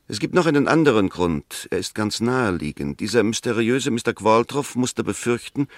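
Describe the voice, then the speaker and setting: Sceptical defence lawyer, lower-pitched male, methodical, courteous, argumentative, dry acoustic, sixties German radio drama. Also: gravelly, dry recording. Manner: precise, argumentative, rhetorical. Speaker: actor in a radio play.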